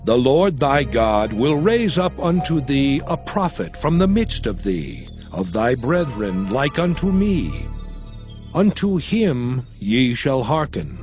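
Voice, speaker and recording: An actor playing an aged male prophet and lawgiver, exhorting his people in a solemn, measured way in a dramatized scripture reading. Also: medium pitch, big pitch swings, darkish tone, soft background music. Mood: solemn exhortation. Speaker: actor in a dramatized audio Bible.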